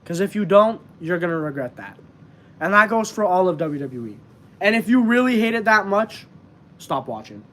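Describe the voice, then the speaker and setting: Young guy host, high pitched, congested voice, earnest rambling stream chat. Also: very animated, moderate pace, fairly bright tone. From Canada. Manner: earnest, rambling, many fillers. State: stuffed up. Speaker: wrestling channel host. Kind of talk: casual live-stream chat.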